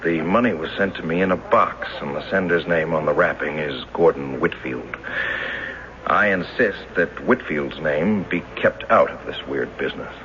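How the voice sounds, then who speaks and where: An American actor playing an earnest, determined former banker demanding justice in a radio drama, speaking deliberately and formally. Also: male voice, extremely low pitch, a bit bright. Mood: insistent. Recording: dull noisy vintage radio drama recording.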